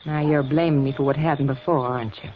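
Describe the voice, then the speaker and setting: A woman's voice: rumbling low, steady tone, fast talker. An American woman playing a bold, flirtatious, proud married woman in a 1950s western radio drama. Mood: defiant. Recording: muffled, faintly noisy 1950s radio recording.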